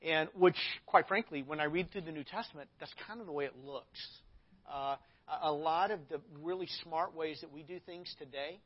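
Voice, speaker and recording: A man's voice: on the high side, normal speed. A man, a pastor hosting a casual interview, talking in a rambling way with restarts. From Arkansas. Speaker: pastor and host. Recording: dull lo-fi audio.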